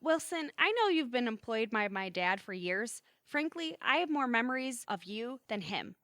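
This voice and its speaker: A woman's voice: on the high side, sing-song, moderate pace, a bit bright, no room sound, clean studio sound. A woman playing an exasperated, sarcastic young twin sister in an audio drama, speaking bluntly. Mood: earnest. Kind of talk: audio theatre acting.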